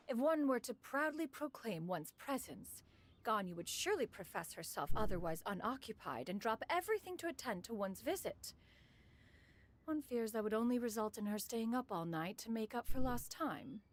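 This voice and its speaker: A woman's voice: fairly high pitch, lively intonation, slight background noise. A woman voicing a formal, aloof immortal lady in a video game who speaks of herself in a grand, old-fashioned way. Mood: aloof, solemn. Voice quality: archaic formal speech, refers to herself as "one". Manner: measured and grand, flustered when caught out.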